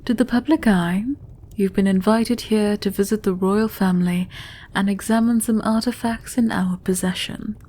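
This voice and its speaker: A woman playing a gracious, shrewd queen in a fantasy audio roleplay, regal, measured and formal. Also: medium pitch, steady tone, warm tone, natural voice. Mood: regal, composed.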